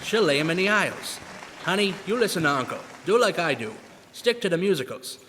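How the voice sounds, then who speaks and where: A man's voice: sits high, big pitch swings, a little fast, pushed and bright, a little hiss or hum, exaggerated voice. A man playing a brash showbiz theatre man in an old-time radio drama, talking in emphatic, wisecracking slang. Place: live performance in a church. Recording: echoey church hall.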